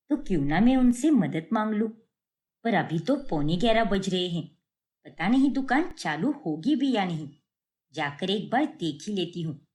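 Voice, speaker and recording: A woman voices a young student in a cartoon story. She is chatty, friendly and breezy, speaking quietly and expressively with a casual air. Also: lowish voice. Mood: worried. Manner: breezy, casual, English slang mixed in.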